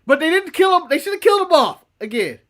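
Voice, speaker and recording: A man's voice: very high-pitched, sing-song, fast, a bit bright. A man co-hosting a casual wrestling review podcast, joking and laughing often. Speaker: podcast co-host.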